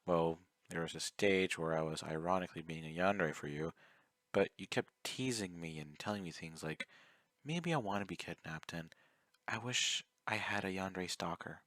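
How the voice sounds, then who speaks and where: Casting: male actor as obsessive yandere stalker for ASMR roleplay; low, close and dry, coaxing, politely menacing. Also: moderate pace, a bit bright, no room sound. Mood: confessional, adoring. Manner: intimate, coaxing, courteous while threatening. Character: obsessive, clingy, soft-spoken young male yandere fan, politely menacing.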